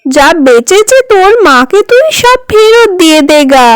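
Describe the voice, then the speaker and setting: A woman's voice: extremely high pitch, big pitch swings, a little slow, no echo, clean studio sound, heavily acted. A woman voicing a chirpy, friendly little girl bird in a cartoon, chatty and curious.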